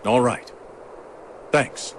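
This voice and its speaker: An actor playing a dutiful, courteous soldier captain in a video game, with military politeness. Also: male voice, very slow. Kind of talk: video game cutscene dialogue. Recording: game audio with clear background noise.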